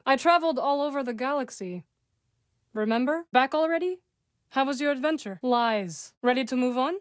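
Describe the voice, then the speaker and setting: A composed young witch from a video game, as a woman voices her, with a slightly high voice and measured, formal phrasing. Her humor is dry and understated. The close studio sound is very dry, with no room around it. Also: no echo, natural voice. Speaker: game companion character. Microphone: close studio mic.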